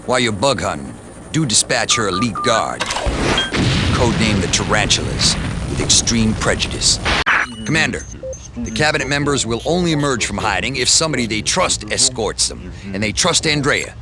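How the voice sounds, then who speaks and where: A stern military commander. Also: male voice, lowish voice, very animated, moderate pace, over a noisy crowd. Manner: clipped, commanding briefing delivery. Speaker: mission commander giving briefings. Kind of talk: game cutscene voice acting. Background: loud music.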